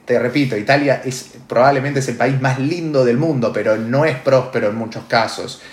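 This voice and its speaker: A man's voice: mid-range voice, normal speed. A man with an Argentine Spanish accent giving opinions in casual talk, emphatic and repeating phrases for stress. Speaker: podcast host. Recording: echoey room.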